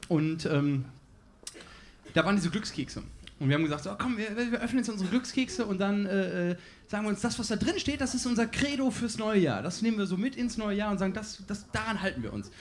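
A young man in his twenties hosting a comedy show, chatting loosely on a small stage into a handheld mic. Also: high-pitched. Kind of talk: stand-up host banter. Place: small laundromat stage before a live audience. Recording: live audience crowd noise. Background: crowd laughter.

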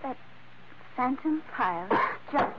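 A woman's voice: high voice, lively intonation, a little slow. An actress playing a young Chinese woman in a 1940s radio adventure, with stiff, formal phrasing. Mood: anxious pleading. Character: distrustful, pleading young Chinese woman, daughter of a tycoon. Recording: noisy, dull 1940s radio recording.

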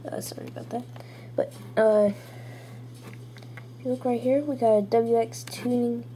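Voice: medium pitch, fairly even intonation, normal speed, dark tone. A young person, child or teen, giving a casual, rambling gadget review into a handheld camera at home. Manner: informal, rambling. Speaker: video reviewer. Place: small room at home, indoors. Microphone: handheld camera's built-in mic. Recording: noisy handheld camera audio with clicks.

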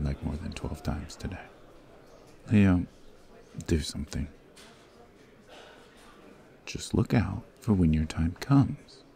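A man playing a cold-hearted, commanding young mafia heir in a scripted ASMR roleplay, speaking sternly in a gravelly voice with clipped menace. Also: mellow, full character voice. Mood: irritated. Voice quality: gravelly, very deep.

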